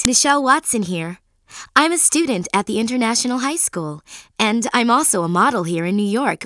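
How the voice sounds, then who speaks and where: A woman's voice: fairly high pitch, a bit bright, no room sound, studio quality. A woman playing a breezy, self-assured teenage girl with an American accent in a video game. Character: confident, cool, loyal teenage New York girl model. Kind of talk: scripted game character lines.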